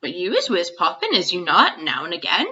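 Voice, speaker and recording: Woman playing an excitable, booming old giant. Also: brisk, dull audio, full character voice. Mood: gleeful. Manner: mangled grammar and nonsense words. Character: big excitable old male giant, kindly, muddled.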